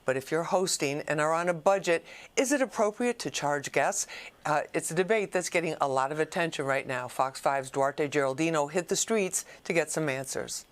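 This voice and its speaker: Lower-voiced American woman news anchor. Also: normal speed.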